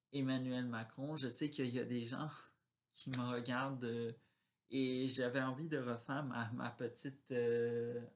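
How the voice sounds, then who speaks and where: A man chatting casually in a vlog, often searching for his words and trailing off. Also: mid-range voice. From Quebec. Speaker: vlogger. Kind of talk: casual rambling vlog chat.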